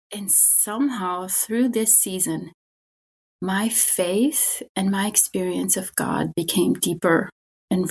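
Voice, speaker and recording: A middle-aged woman with a German accent speaks slowly and gently, her voice soft and a little breathy. She talks in an earnest, thoughtful way, telling a personal story as a guest in a faith conversation. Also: medium pitch. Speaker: spiritual director, guest.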